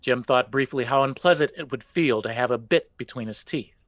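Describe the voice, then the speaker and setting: A man narrating a spooky folk legend for a radio play with measured, theatrical storytelling. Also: medium pitch, a bit bright, dry recording, clean studio sound, close to an everyday voice. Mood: suspenseful. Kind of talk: scripted dramatic narration.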